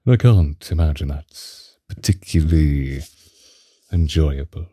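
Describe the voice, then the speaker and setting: An ancient vampire lover, acted out by a man, possessive and doting, murmurs in an intimate ASMR roleplay. The voice is extremely low and very dark, with a husky, breathy edge that often slips toward a whisper. The old-fashioned romantic phrases come slowly and close to the mic. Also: no room sound. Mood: tender, possessive. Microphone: close microphone, dry.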